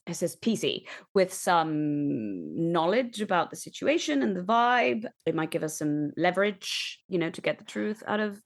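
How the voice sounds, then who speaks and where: A woman with a fairly low voice and plenty of vocal fry takes part in a tabletop roleplaying game. She talks through ideas in a measured, reflective way. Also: sing-song. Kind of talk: thoughtful conversational game talk.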